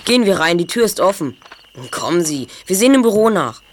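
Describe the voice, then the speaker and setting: A man's voice: high-pitched, big pitch swings, a little fast, slight background noise. A man playing a keen, observant teenage boy detective in an audio drama, eagerly reasoning aloud. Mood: eager, suspicious. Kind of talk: amateur audio drama acting.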